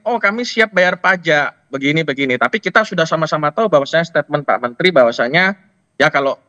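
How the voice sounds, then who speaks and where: Male panel guest, emphatic and sharp-voiced, on dry but dull band-limited audio. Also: a little high, dry recording. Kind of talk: explanatory television discussion.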